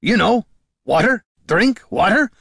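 A man voicing a gruff male fantasy quest leader in an audiobook, barking and scolding in rough, colloquial speech. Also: mid-range voice, lively intonation, fairly quick, pushed and bright, dry recording, studio quality, pitched above the performer's own voice, full character voice. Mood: angry, barking.

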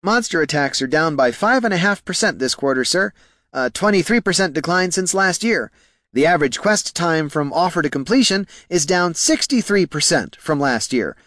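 A man plays a meek, anxious clerk from a fantasy storybook. The voice is pitched high and squeaky, with lively swings, and stays formal and eager to defer to superiors. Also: moderate pace, dry recording, clean studio sound. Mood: nervous, squeaky. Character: nervous, deferential male Scribkin financial clerk.

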